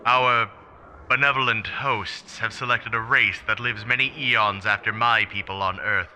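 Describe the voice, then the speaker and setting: A man's voice: fairly low pitch, fairly even intonation, a little slow, pushed and bright, slight background noise. A man playing a formal, grave extraterrestrial in a radio play, speaking in stiff, literal phrases. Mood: solemn. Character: formal, grave extraterrestrial being, puzzled by humans.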